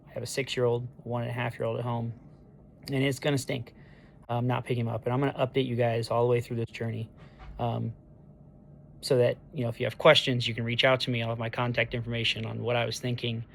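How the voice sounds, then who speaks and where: A man in his thirties with a hoarse voice the day after neck surgery, talking through a casual video diary in a halting way, full of ums and restarts. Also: medium pitch, little pitch movement, slight background noise. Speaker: patient sharing a recovery diary. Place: hospital room on discharge day.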